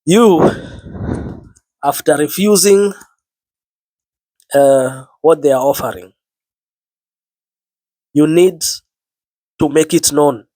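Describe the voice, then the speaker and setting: A man's voice: a little high, takes it slowly, pauses often, mellow, dry recording, poor audio. Sick man preaching earnestly. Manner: earnest, repeats words, biblical phrasing. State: sick with flu, low voice. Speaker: online preacher. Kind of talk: spoken sermon to camera.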